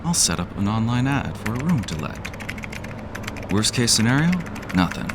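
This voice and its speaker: A man's voice: fairly low pitch, sing-song, a little slow, noisy background. A man playing a jaded, cynical office worker who narrates an audio drama in a weary, sardonic, profane way.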